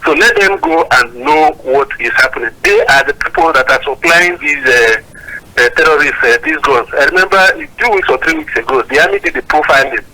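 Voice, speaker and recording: Sharp, bright Nigerian man phoning in. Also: on the high side. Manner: emphatic, deliberate, argumentative. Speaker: phone-in caller. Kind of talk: political opinion on a call-in show. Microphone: phone call. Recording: thin telephone line.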